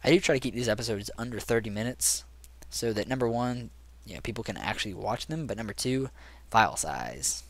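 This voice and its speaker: A young man with a raspy voice and sore throat, casually rambling through gaming commentary with many ums. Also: on the low side, expressive, a little fast, no echo. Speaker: gaming channel host. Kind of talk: casual let's play commentary.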